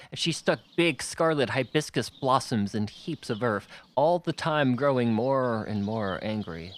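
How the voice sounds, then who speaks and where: A man reading a children's novel aloud as scripted audiobook narration. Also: medium pitch. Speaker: audiobook reader.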